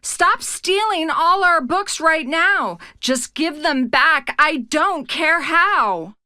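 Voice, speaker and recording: A woman's voice: high-pitched, lively intonation, slow, bright, forceful tone, no room sound, clean studio sound, pitched above the performer's own voice, full character voice. A woman voicing a brave, stern young female storybook rabbit with a bold, scolding manner. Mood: angry, demanding.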